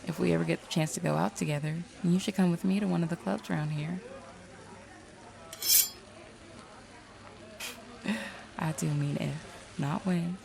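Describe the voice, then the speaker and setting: A woman's voice: fairly low pitch, fairly even intonation, fairly quick, gravelly, crowd noise, poor audio. A woman playing a flirtatious, self-assured detective and seer in an audio roleplay, playful and sly as she teases. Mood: flirty, playful. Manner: playful, sly, drawling endearments. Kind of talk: audio roleplay drama acting.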